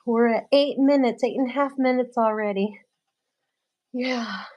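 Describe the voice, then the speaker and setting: A woman's voice: fairly high pitch, unhurried, highs cut off. A woman whose first language is Spanish chats casually with viewers during a live-streamed workout, laughing often and using many fillers. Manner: greets viewers warmly by name. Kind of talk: casual live stream chat while exercising.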